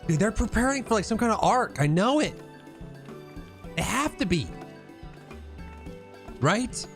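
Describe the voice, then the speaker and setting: A man's voice: high-pitched, sing-song, measured pace, vocal fry, soft background music. A man giving casual, joking let's-play commentary as he plays a video game. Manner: joking, colloquial asides. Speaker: streamer playing a video game.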